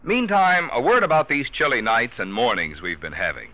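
Animated vintage American male radio announcer. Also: medium pitch, moderate pace. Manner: emphatic sales patter. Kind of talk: scripted 1940s radio commercial. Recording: dull vintage radio recording.

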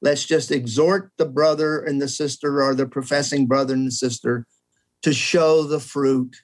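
A man's voice: medium pitch, fairly even intonation, normal speed. A man in his seventies, talking through a theology question in an earnest, reflective way, sometimes poking fun at his younger self. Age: 70s. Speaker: guest scholar. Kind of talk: conversational theology discussion.

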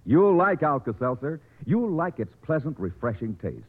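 A thin, narrow-band old radio recording of an American man. He reads a scripted 1940s commercial as an announcer, at a medium pitch with very animated intonation. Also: moderate pace, husky.

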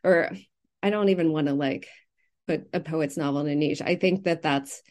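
A woman speaking as an interviewed novelist, reflecting casually and circling haltingly around her thoughts. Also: low voice, a little mellow.

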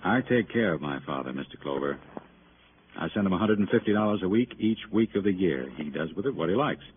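An actor playing a defensive, cynical, successful son in a 1950s radio drama, glib and sardonic. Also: male voice, low-pitched, animated, brisk. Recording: tinny, noisy old radio recording.

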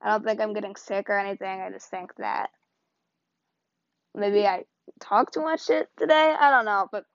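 A woman's voice: medium pitch, normal speed, pauses often, dry recording. Woman with a tired, strained voice. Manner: rambling, loose and chatty. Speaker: podcast host. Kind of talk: casual solo podcast talk. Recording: dull lo-fi, dry sound.

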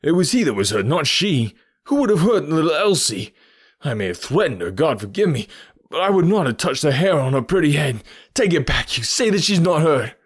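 A proud villain from a detective storybook, acted by a male narrator, with a fairly low voice. He speaks with bitter, forceful defiance and a wounded lover's intensity. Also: moderate pace. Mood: fierce anguish. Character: fierce, proud, lovelorn American male criminal.

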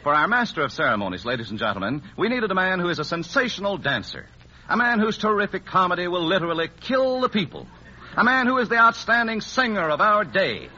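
A man's voice: sits high, sing-song, fast talker, pushed and bright, dull audio. A man announcing and playing straight man in a 1940s radio variety show, on a vintage broadcast recording. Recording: band-limited, noisy vintage radio broadcast.

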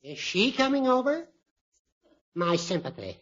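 A lo-fi vintage radio comedy recording of an elderly judge with a husky voice that swoops up and down. He is genial and teasing, with wry, flowery phrasing. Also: high voice, very animated, measured pace, a bit bright, exaggerated voice. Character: teasing, genial old judge, a cackling friend. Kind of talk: 1940s-50s radio comedy acting. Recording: muffled, lo-fi old radio recording.

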